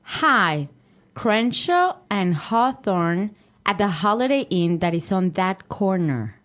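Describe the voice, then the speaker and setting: A woman's voice: mid-range voice, big pitch swings, takes it slowly, fairly bright tone. A woman playing a friendly young Mexican businesswoman riding in a taxi, carefully enunciated for a language lesson. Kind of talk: acted language-lesson dialogue.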